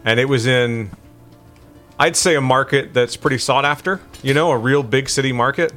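A man casually chatting and joking while playing a video game on a podcast, reacting loudly to the screen. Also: medium pitch, moderate pace, faint music behind. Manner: jokey, animated reactions. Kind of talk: casual chat while gaming.

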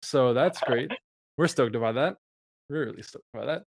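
A man's voice: mid-range voice, moderate pace, gravelly, dry recording. Young man from denmark, podcast guest, upbeat, laughs easily, dry close sound. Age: young adult. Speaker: guest musician. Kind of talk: casual interview chat.

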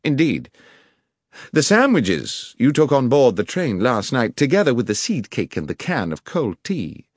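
A man voicing a sharp, commanding middle-aged detective who asks precise questions, in an audiobook reading. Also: mid-range voice, sing-song, a little slow, no echo, clean studio sound, voice pushed up. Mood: probing. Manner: crisp, precise, probing questions.

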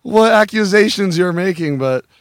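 A man with a fairly high voice trades jokes on a casual podcast. He has a dry, deadpan way of talking and slips into mock-formal denials. The sound is close and dry. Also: moderate pace, clean tone, dry recording. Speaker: comedian guest.

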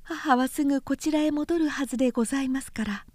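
A woman voicing a gentle, discreet young daughter of a samurai house, speaking softly and politely. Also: high-pitched, brisk, dry recording, voice pushed up, lightly acted. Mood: quiet, confiding. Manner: soft, hushed, polite. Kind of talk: storybook character reading.

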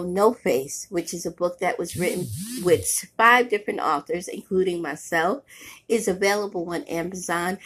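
A woman's voice: mid-range voice, husky. A woman hosting a motivational podcast, emphatic and repetitive, sounding congested and nasal from a cold. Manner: emphatic, preachy, repeats phrases for effect. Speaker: podcast host and author.

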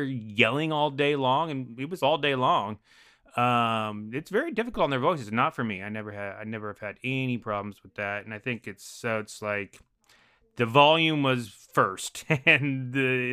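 Loud, animated male podcast guest with a bright, crisp voice. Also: medium pitch. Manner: naturally loud, animated storytelling. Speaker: podcast guest, improv performer. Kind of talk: casual chat.

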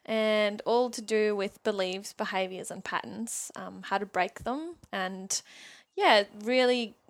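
A woman's voice: mid-range voice, takes it slowly. A woman in her twenties from Germany with a German accent, chatting as a guest on a podcast about her travels and coaching work, laughing often and rambling a little. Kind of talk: casual podcast interview.

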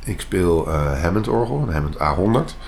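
A man's voice: sits low, expressive, normal speed, a little mellow, a little hiss or hum. A middle-aged man from the eastern Netherlands, a keyboard player, introducing himself casually in an interview.

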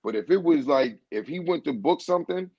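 A man's voice: a little high, animated, a little fast, vocal fry, breathy edge, no room sound. A man being interviewed as a singer, telling a long casual story with lots of "you know what I'm saying" and restarts. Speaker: guest singer. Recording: dull, dry muffled recording.

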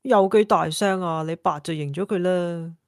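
A woman's voice: lowish voice, moderate pace, dry recording, clean studio sound, close to an everyday voice. An actress playing a sassy, independent single woman in her thirties in an audio drama, teasing and sarcastic. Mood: sarcastic, carefree. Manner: playful, sarcastic retorts. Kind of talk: Cantonese audio drama acting.

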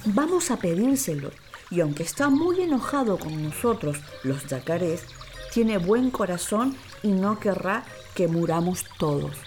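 A woman's voice: medium pitch, sing-song, measured pace, a little mellow, a little hiss or hum. A woman voicing an old, wise caiman in a children's story, speaking earnestly and with urgency. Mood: hopeful.

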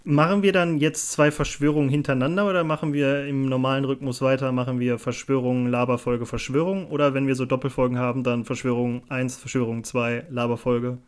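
A man's voice: mid-range voice, fairly even intonation, a little fast, hardly any pauses, clear, resonant tone. A young man chats casually as a podcast co-host, rambling and joking in colloquial slang. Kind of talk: casual unscripted podcast chat. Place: small hotel room.

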